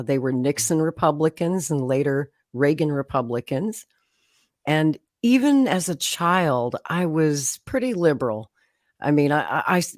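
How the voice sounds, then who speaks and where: A woman's voice: low-pitched, darkish tone, no echo, studio quality. A woman, a guest telling her political life story in a chatty way, laughing often and acting out quotes. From Oklahoma, USA. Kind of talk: conversational storytelling.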